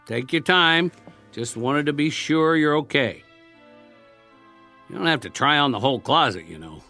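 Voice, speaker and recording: Weary, sardonic American male war survivor for radio drama; lively pitch, coaxing, plain speech over music. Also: moderate pace. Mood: soft, admiring.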